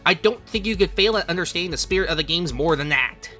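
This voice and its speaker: A man with a fairly high voice delivers a scripted video essay over music. He talks fast, in a conversational and emphatic way. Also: lively intonation, sharp tone. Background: music under the voice.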